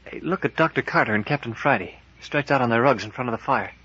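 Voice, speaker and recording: An actor playing an offhand, teasing member of a jungle party in a 1940s radio adventure, breezy and colloquial. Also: male voice, mid-range voice, animated, fast talker, pushed and bright, husky. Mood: teasing, casual. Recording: muffled vintage 1940s radio recording.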